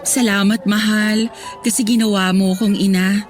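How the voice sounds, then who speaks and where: A woman's voice: mid-range voice, fairly even intonation, normal speed, natural voice. An actress playing an affectionate, teasing young mother-to-be and girlfriend in a radio drama. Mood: tender, excited. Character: affectionate woman, newly pregnant office worker and girlfriend. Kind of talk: Tagalog radio drama acting. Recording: music bed under dialogue.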